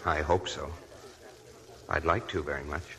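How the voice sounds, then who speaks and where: An actor playing a kind, awkward young army lieutenant with a hesitant, polite manner in 1940s radio drama. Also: male voice, rumbling low, steady tone, normal speed, vocal fry, heavy background noise.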